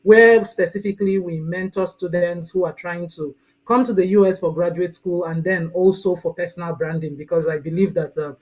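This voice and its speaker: Nigerian woman in her 30s chatting as a guest on a video call, a bit husky, friendly and talkative. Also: lowish voice, steady tone, moderate pace, low-quality recording. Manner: warm, chatty, laughs often, says "right?". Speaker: guest, mentoring coach. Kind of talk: casual interview talk.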